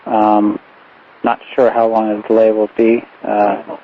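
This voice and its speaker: A man's voice: fairly low pitch, completely flat delivery, slow pace, a crowd in the background. A man with an American accent working as a tower air traffic controller, giving clipped procedural calls over radio. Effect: aviation radio transmission. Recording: muffled, faintly noisy aviation radio. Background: faint radio noise.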